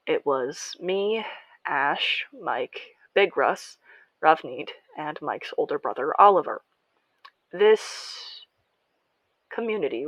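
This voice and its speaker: A woman playing a young female survivor broadcasting in a podcast-style audio drama, confiding and emotionally raw, with halting delivery. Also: low voice, no echo, poor audio. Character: young female survivor and pirate radio broadcaster, grieving, defiant.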